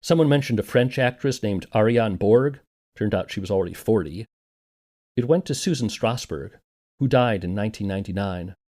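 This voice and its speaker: A man's voice: lowish voice, animated, moderate pace, darkish tone. A man narrating a scripted documentary podcast as its host and interviewer.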